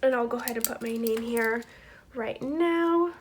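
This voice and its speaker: A woman's voice: on the high side, normal speed, slight background noise. A woman in her twenties, stuffy from a cold, hosting a chatty, upbeat budgeting walkthrough for her viewers. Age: 20s. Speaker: channel host.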